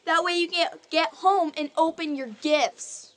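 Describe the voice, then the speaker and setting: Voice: very high-pitched, fairly even intonation, unhurried, fairly bright tone. A performer playing a mocking schoolchild bully in a radio play, sneering and taunting. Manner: sneering, sing-song taunting. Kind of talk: stage radio play acting.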